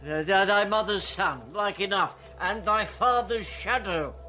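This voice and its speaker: An actor playing a pompous, roguish old English knight in a radio drama, blustering with sighs and hesitations. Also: male voice, high-pitched, unhurried, bright, forceful tone, faint music behind. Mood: wry, amused. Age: older. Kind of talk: classical radio drama acting.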